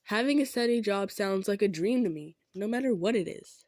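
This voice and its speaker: Kind female centaur, played by a woman: mid-pitched, modest, softly insistent, roleplay delivery straight to the listener, no room sound. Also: lively intonation, a little fast, no room sound, clean studio sound, close to an everyday voice. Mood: shy, hopeful. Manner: earnest, hesitant, gentle insisting. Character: kind, humble, self-effacing female centaur. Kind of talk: improvised audio roleplay addressed to the listener.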